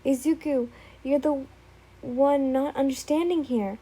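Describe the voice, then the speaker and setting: A woman's voice: high-pitched, steady tone, unhurried, dark tone. A woman voicing a young woman who speaks in a firm, insistent way. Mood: reasoning.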